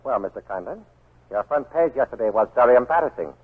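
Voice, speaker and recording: An actor playing a formal, menacing old Japanese baron in a 1940s radio drama, husky, measured and coolly polite. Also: male voice, mid-range voice, animated. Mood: coolly polite menace. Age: older. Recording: scratchy narrow-band 1940s radio recording.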